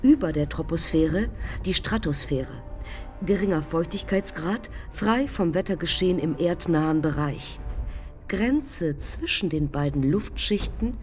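Resolute, haunted woman aviator, lowish voice. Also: expressive, a little slow, a little mellow. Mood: dreamy, precise. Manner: clipped fragments, incantatory repetition. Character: daring woman aviator of the 1920s, intense, resolute, haunted by death. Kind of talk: poetic radio drama acting. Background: music under the voice.

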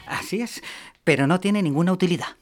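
An actor playing a vain, grumpy, bluffing music producer in a comedic radio drama, with a boastful and hesitant way of talking. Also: male voice, high-pitched, a little fast, lightly acted. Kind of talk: comedic radio fiction dialogue.

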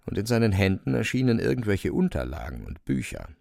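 A man narrating a radio drama from a script, in an expressive storytelling style. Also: on the low side, fairly quick, gravelly, dry recording, clean studio sound.

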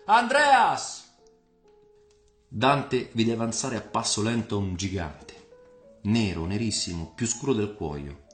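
A man's voice: on the low side, unhurried, roomy sound, faint music behind. A man reading a scripted dramatic story for radio as its narrator.

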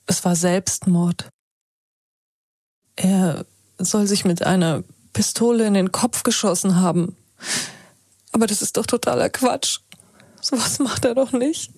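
A woman's voice: mid-range voice, a little mellow. Troubled, earnest woman journalist. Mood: shaken. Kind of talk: naturalistic German radio drama acting.

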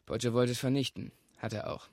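An actor playing a volatile young computer hacker in a radio drama, with coarse, slangy, defensive talk. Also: male voice, mid-range voice, brisk, a little mellow, no room sound, studio quality, lightly acted. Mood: bitter, defensive. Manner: slangy, coarse, outbursts of cursing.

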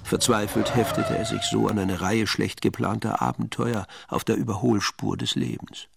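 A man's voice: fairly low pitch, fairly even intonation, moderate pace, vocal fry, heavy background noise. A man narrating a German crime radio play as a wry, detached storyteller with a dry, sardonic manner. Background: music.

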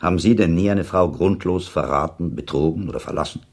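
An actor playing an urbane, cynical listener in a German radio play, arguing formally and with irony. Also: male voice, deep voice, fairly quick, creaky, darkish tone, breathy edge, exaggerated voice. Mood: argumentative. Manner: ironic, formal, argumentative. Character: cynical, urbane male listener, provocative, argumentative sceptic. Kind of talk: German radio play dialogue, literary debate.

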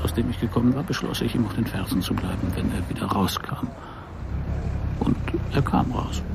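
An actor playing a world-weary, hard-up private detective who narrates a crime radio play in a laconic, brooding way with a gravelly voice. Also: male voice, mid-range voice, noisy background. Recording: studio radio play with music behind.

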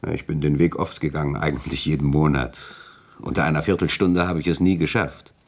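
A man playing a courteous, self-assured village notary in a German radio crime drama, husky and formally chatty. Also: rumbling low, fairly even intonation, a little fast. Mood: confiding, insinuating.

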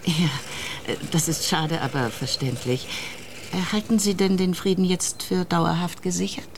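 A polite, probing woman in a radio drama. She has a very low voice and a careful, hesitant conversational manner, over faint background noise. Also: a little slow. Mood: politely probing. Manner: hesitant, courteous. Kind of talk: German radio play acting.

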